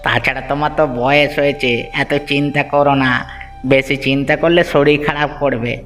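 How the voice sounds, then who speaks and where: An actor voicing a caring village neighbor in a cartoon, reassuring and soothing. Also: male voice, fairly high pitch, steady tone, fairly quick, a bit bright, big-room sound, faint music behind, close to an everyday voice. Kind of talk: Bengali cartoon dubbing.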